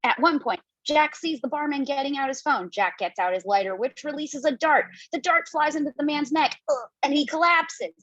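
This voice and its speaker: A woman reading the stage directions in a screenplay table read, brisk and casually playful. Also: high voice, fairly bright tone, dry recording. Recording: dull lo-fi home mic.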